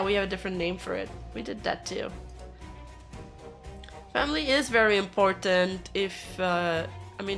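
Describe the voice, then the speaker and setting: A hoarse woman streamer chatting casually and rambling with her viewers, laughing easily. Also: lowish voice. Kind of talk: casual livestream chat with viewers.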